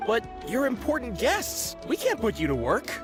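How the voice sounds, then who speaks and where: A polite young fellow in an anime game. The voice is high for a male and jumps about, flustered and deferential. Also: wildly swooping pitch, normal speed, over music, heavily acted. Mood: protesting.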